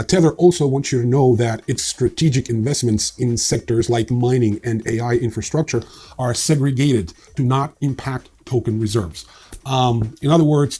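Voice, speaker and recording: Husky, wry crypto news host man. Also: mid-range voice, mellow. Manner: wry, dry sarcasm, frequent ums and uhs. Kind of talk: casual news roundup with sarcastic asides.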